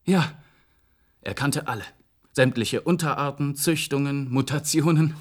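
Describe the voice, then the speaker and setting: An actor in a German radio play playing a polite, formal cellist who speaks with hesitant courtesy. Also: male voice, medium pitch, measured pace, natural voice. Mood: reflective. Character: polite, hesitant cellist, grieving son, unworldly with technology.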